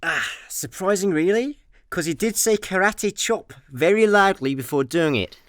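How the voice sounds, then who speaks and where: A droll space layabout, played by a man, laid-back and hard to impress. His intonation is highly varied and mock-dramatic, with a dry radio-play sound. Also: lively intonation, moderate pace, a bit bright, no room sound. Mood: unimpressed. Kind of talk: comic sci-fi radio play acting.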